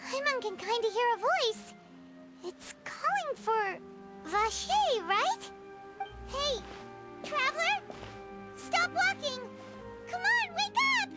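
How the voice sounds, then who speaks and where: Squeaky, very high little fairy. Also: female voice, takes it slowly, pauses often, exaggerated voice. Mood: alarmed. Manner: squeaky, excitable, refers to self in third person. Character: tiny childlike fairy companion, chatty and timid. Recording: loud game music behind.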